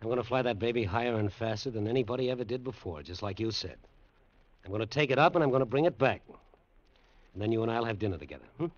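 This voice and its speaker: An actor playing a breezy, confident, carefree test pilot in an old-time radio drama. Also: male voice, mid-range voice, lively intonation, quick pace, no room sound, lightly acted. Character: cocky, carefree male test pilot, an Air Force major. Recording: muffled, dry vintage radio recording.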